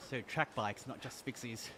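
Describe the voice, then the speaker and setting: An Australian man on a casual live stream, reading viewer comments aloud while riding an indoor bike, upbeat and quick to laugh. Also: medium pitch, background noise. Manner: upbeat, laughs often, friendly and chatty.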